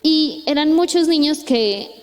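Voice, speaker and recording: Young colombian woman with a colombian accent giving a casual sermon, high voice, tons of hall echo. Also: unhurried. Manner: chatty, frequent tag questions, lively retelling. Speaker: youth preacher. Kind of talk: conversational sermon with storytelling. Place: church hall. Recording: echoey church hall.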